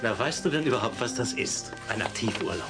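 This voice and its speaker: A man's voice: medium pitch, lively intonation, brisk, music in the background, lightly acted. A man playing a cheerful, teasing and encouraging father of a young boy in a German radio play.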